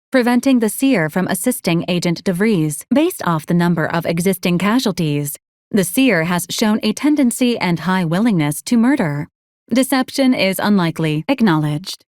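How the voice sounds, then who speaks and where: A woman voicing a polite, unflappable robot companion in a video game, speaking in formal, clipped, deadpan announcements. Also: mid-range voice, no room sound, studio quality, lightly acted. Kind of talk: scripted video game character lines.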